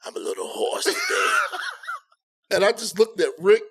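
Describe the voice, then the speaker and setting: A man's voice: high-pitched, huge pitch swings, a little slow, a bit bright, slightly rough, raised voice. A man co-hosting a casual comedy podcast, chatting and telling comic stories. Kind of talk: casual chat, comic storytelling.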